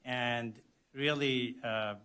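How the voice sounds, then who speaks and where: A man in his sixties from Iran with an Iranian Azeri-Turkish accent, giving a policy talk as a surgeon guest speaker, halting often with fillers. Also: mid-range voice, steady tone, clear, resonant tone. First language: Azerbaijani Turkish. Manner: halting, many fillers, rising loudness on key phrases.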